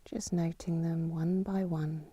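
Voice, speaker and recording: Female meditation teacher, lowish soft breathy voice, calm and unhurried, close dry sound. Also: dry recording, highs cut off. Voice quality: soft, breathy, half-whispered. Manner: calm, soothing, unhurried with long pauses. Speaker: yoga nidra teacher. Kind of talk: guided meditation, slow spoken instructions.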